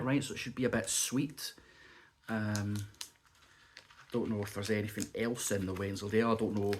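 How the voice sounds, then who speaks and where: A man's voice: mid-range voice, a bit bright, a little hiss or hum. A man chatting casually as he taste-tests cheese and crackers at home, still sniffly and coughing from a bug. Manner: chatty, muses aloud while tasting. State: lingering cough, a bit sniffly. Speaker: food reviewer. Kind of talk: casual food review vlog. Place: indoors at home.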